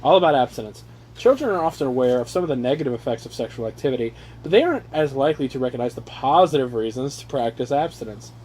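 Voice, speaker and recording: A man casually reading aloud and riffing on the text with sarcastic, profane, animated asides, on an amateur home recording. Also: mid-range voice, quick pace, slight background noise, poor audio. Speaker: reader riffing on a handbook aloud to his brothers. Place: casual room with brothers chiming in.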